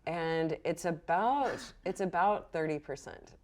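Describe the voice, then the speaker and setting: A woman game master narrating a tabletop game in an informal, halting, conversational way. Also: on the low side.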